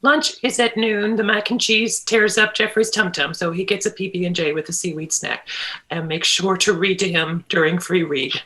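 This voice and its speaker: A woman's voice: lowish voice, steady tone, sharp tone, poor audio. An actress reading a frazzled mother who insists everything is fine and barks orders at her kids in a table read. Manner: brisk, bossy, keeps brushing off pain.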